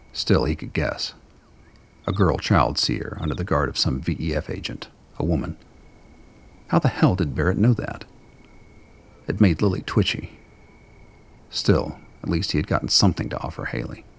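Very deep, gravelly male narrator. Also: expressive, normal speed, pauses often. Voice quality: gravelly, husky. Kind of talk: scripted dramatic story narration.

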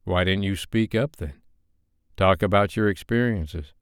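A man voicing a blunt, stern, irritated man with a deep voice in an audiobook. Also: measured pace, clear, resonant tone, no echo, studio quality.

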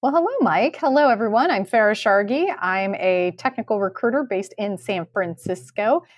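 A woman's voice: medium pitch, animated, normal speed, dry recording, clean studio sound. A woman co-hosting a podcast in casual chat. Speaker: podcast co-host.

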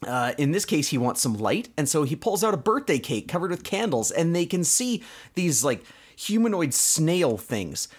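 A man's voice: fairly high pitch, very animated, moderate pace, few pauses, slightly rough. A man hosting a podcast, casually recapping a cartoon episode in a conversational way with explanatory asides. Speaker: podcast host.

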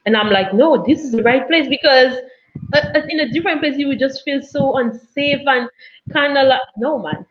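A woman's voice: sits high, normal speed, a little hiss or hum. Young woman chatting casually, acts out dialogue as she tells stories, kinda dull lo-fi sound. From Cameroon. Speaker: guest. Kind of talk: casual chat, telling personal anecdotes. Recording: dull, lo-fi audio.

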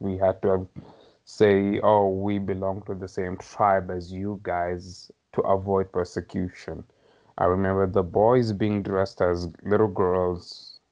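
A man's voice: low-pitched, a little mellow. A man in his thirties from Somalia, a podcast guest thoughtfully recounting his childhood, hedging often and laughing lightly. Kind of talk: reflective personal storytelling. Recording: slightly dull lo-fi audio.

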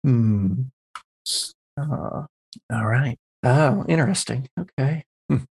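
Gravelly man running a tabletop game. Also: medium pitch, slow, a little mellow. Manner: instructive, guiding players with questions. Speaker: game master and podcast host. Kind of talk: explaining tabletop game rules to players. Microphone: close personal microphone on a remote group call.

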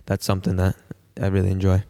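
A man's voice: low voice, completely flat delivery, quick pace, warm tone. A man whose first language is Italian, chatting casually in an earnest, reflective way with some hesitant phrasing. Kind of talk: casual chat. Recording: long hall-like reverb.

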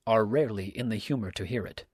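A man voicing a stern, righteous countryman in an audiobook, speaking in a measured, formal, sermonizing way. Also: lowish voice, darkish tone, husky, dry recording, studio quality. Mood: probing, measured. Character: stern, unhurried righteous countryman, preaching and probing. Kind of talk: audiobook character dialogue.

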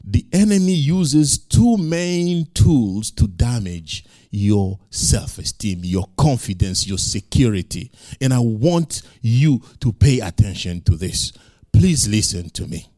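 Male pastor, non-native English speaker, lively rising pitch, dry close sound. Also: takes it slowly, no room sound. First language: not English. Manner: emphatic, rising to loud peaks, repeats lines for effect. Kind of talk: sermon.

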